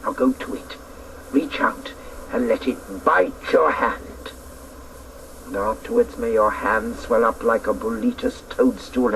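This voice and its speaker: A woman's voice: a bass voice, slight background noise, exaggerated voice, low-quality recording. An actress in a radio drama playing a scornful, vengeful old woman who taunts imperiously and draws out her words. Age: older. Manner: taunting, imperious, drawn-out theatrical delivery.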